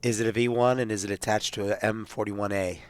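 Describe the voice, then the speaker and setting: A man's voice: fairly low pitch, steady tone, brisk, clear, resonant tone, no echo. A man playing in a tabletop roleplaying game, talking casually and improvising.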